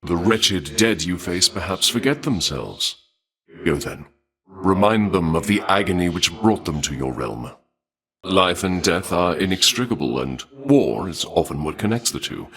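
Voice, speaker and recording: A male god of war from a fantasy video game, with a deep, low voice. He is refined and bloodthirsty, choosing formal, old-fashioned phrasing. The delivery is deliberate and theatrical, with menace kept under impeccable politeness. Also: fairly bright tone. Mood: grimly admiring.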